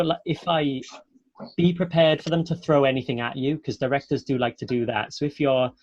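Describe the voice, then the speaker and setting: A man's voice: mid-range voice, no room sound, rough recording. A man from south Wales with a Welsh accent, an actor speaking in a chatty, earnest, encouraging way as he gives informal advice to students. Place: close dry online webinar call.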